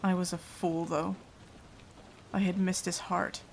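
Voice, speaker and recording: Woman as a haunted, slow-speaking vampire. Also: on the low side, level tone, gravelly. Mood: regretful, resolute. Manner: hushed, halting, dramatic, often dropping to a whisper. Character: haunted, remorseful female vampire confiding her past. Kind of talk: ASMR roleplay monologue. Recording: light rain noise behind voice. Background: rain.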